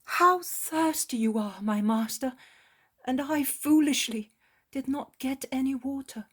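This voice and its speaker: A woman's voice: high-pitched, measured pace, breathy edge, pitched above the performer's own voice. A woman voicing a devoted young male disciple who speaks tenderly and apologetically.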